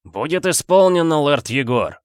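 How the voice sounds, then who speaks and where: A man's voice: lowish voice, moderate pace, a bit bright, no room sound, studio quality, natural voice. A man playing a dutiful warrior sergeant in a fantasy audiobook, giving a deferential military report to his lord. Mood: obedient.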